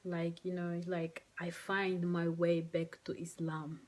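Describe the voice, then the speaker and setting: Young woman, non-native English speaker, low-pitched, soft and halting, emotional personal story, filler-heavy. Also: level tone. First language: not English. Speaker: vlogger. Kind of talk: personal story told to camera. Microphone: phone or camera mic.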